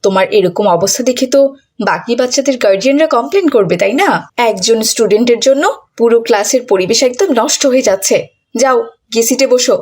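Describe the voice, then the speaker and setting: Stern female schoolteacher, acted by a woman narrator, husky, fairly high voice, reproving cartoon delivery. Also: a little mellow. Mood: scolding. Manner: brisk, reproving. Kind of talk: children's moral cartoon dub.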